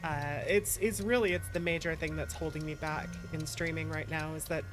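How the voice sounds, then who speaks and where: A streamer chatting casually and candidly while playing a game, in a halting way. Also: medium pitch, steady tone. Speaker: streamer, host. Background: music.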